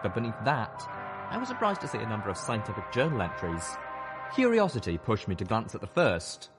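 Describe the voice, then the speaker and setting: Male story narrator, lively and dramatic, slightly deep, clear music underneath. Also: fairly quick. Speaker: audiobook narrator.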